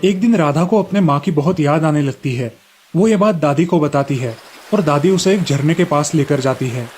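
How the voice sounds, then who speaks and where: Children's story narrator, scripted delivery, middle pitch, light music underneath. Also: normal speed, darkish tone.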